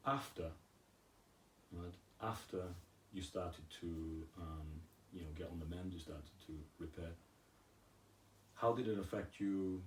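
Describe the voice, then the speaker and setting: A man interviewing a guest, asking questions in a halting way and often rephrasing them. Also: deep voice. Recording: echoey room, distant mic, faint hiss.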